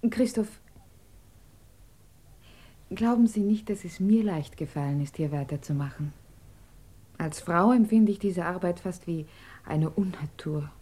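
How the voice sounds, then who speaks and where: Wistful, earnest émigré woman, husky low voice. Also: a little slow, pauses often, mellow. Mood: earnest, urging. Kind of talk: German radio drama acting.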